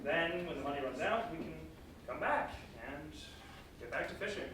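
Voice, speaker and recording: A man playing an ambitious, excitable fisherman disciple on stage, with an emphatic delivery that can turn halting. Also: fairly high pitch, close to an everyday voice. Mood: earnest. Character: Simon, ambitious boastful fisherman turned flustered fearful disciple. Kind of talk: staged reading, theatrical stage acting. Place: live staged reading in a reverberant room. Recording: echoey hall from far off, faint noise.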